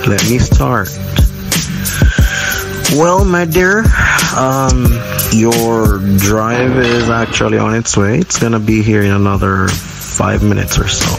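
A man playing a smooth-talking, self-assured police officer in an audio drama, familiar in manner. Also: mid-range voice. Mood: patronising. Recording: loud music behind the voice.

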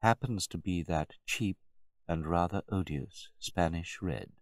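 A grave butler played by a male storyteller speaks with formal, measured courtesy. Beneath the deference sits quiet disdain and polite condescension, in a dry, close storybook reading. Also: lowish voice, lively intonation, no room sound, pitched above the performer's own voice. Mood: quietly firm.

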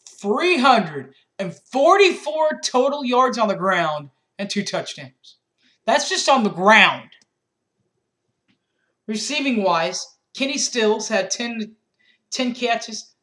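Slow-talking young man reading game stats. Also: high voice, bright, forceful tone. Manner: methodical stat-reading with restarts. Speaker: sports fan reviewer. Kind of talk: postgame recap. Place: small bedroom indoors. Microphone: webcam at desk.

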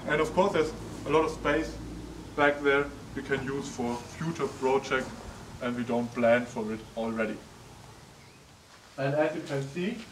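A young man giving a casual, chatty walkthrough tour of an old wooden barn, with lots of ums, recorded on a camera mic from a distance. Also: mid-range voice. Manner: chatty, frequent ums, gestures while walking. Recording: echoey barn, distant mic, faint noise.

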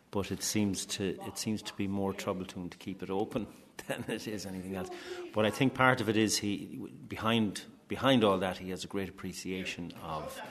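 A man talks informally and thoughtfully in an interview. His voice is fairly low, with a clear murmur of people behind him. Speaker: actor interviewed. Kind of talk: reflective interview talk. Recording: busy crowd chatter behind.

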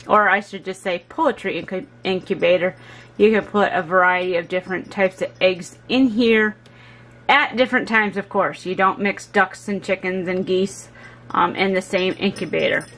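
A woman's voice: mid-range voice, a bit bright. A woman in her forties, a homesteader giving a chatty how-to vlog with many fillers, filmed on a handheld phone on a porch and indoors. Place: porch outdoors, then inside a small home. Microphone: handheld phone camera.

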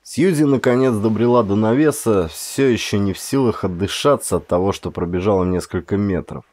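A man reading a story as an audiobook narrator, in an expressive, dramatic storytelling manner. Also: lowish voice, fairly quick.

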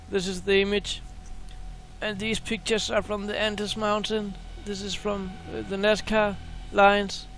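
A man hosting a space video, talking casually and excitedly over a screen share, often repeating words. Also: high voice, creaky, slight background noise.

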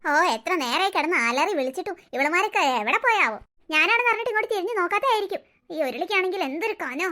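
A sly old grandmother sighs and mutters complaints under her breath in a home skit, as a woman puts her on. Her voice stays high, bright and quick, and she sweet-talks the children while plotting against their mother. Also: lively intonation, exaggerated voice. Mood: grumbling. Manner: sighing, complaining, muttering to herself. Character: grumbling scheming old grandmother. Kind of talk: one-person family skit.

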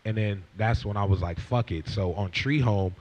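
A man's voice: fairly low pitch, moderate pace, darkish tone. A young man in his twenties telling a long profane story, acting out what people said, on a stage with a handheld mic. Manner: profane, rambling, acts out quoted lines. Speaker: guest rapper. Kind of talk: casual storytelling. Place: stage with leather couch before audience.

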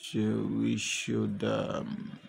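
A man narrating a step-by-step software tutorial unscripted, with halting, repetitive instructional phrasing. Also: fairly low pitch, completely flat delivery, vocal fry, a little hiss or hum. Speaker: tutorial presenter.

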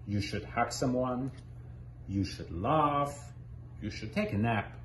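A man's voice: medium pitch, slow, close to an everyday voice. A man voicing cheerful, pushy jungle animals from a picture book, coaxing in repetitive sing-song suggestions. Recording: roomy living room, background noise.

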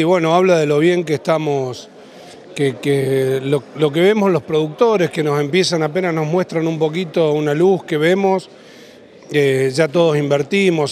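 A man in his thirties with an Argentine accent, answering interview questions as a livestock auctioneer, in a halting way that repeats and rephrases. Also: fairly high pitch, a little mellow. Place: large indoor event hall after an auction. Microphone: handheld interview microphone.

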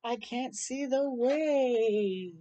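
Older female storytime reader, soft mellow tone, unhurried pace, deliberate dramatic delivery for young children. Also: on the high side, muffled recording. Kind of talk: read-aloud of a rhyming picture book with brief asides. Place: outdoors on a porch.

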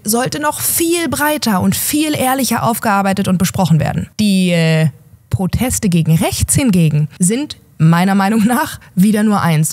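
A woman's voice: mid-range voice, darkish tone. A young woman hosting an opinion show, talking sarcastically and emphatically into a desk mic in a home studio. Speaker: host. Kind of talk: opinion commentary. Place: home studio with gaming chair.

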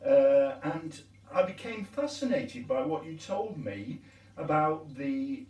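Slow, courteous older priest. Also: male voice, mid-range voice, darkish tone. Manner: measured, courteous, slow and deliberate. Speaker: parish priest and interviewer. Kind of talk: gentle, formal interview. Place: sunlit glass conservatory at a tea table. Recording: echoey room, distant mic.

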